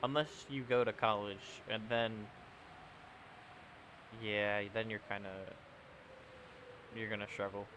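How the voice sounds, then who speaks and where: A man's voice: on the low side, fairly even intonation, slow, pauses often, noisy background. A twenty-year-old man casually chatting while streaming a game, rambling and answering viewers as he plays. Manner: rambling, self-correcting, answering chat. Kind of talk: casual gaming livestream chat.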